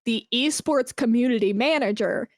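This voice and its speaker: A woman speaking as a guest in a casual podcast interview, animated and self-deprecating, with slangy phrasing. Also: fairly high pitch, moderate pace, no echo, clean studio sound. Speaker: podcast guest, esports brand manager.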